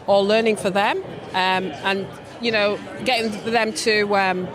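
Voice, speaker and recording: A woman's voice: medium pitch, fairly even intonation, measured pace. A woman who teaches hairdressing at a college, answering in a rambling way with many fillers. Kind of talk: interview answers. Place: busy trade show hall. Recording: crowd noise of a trade show.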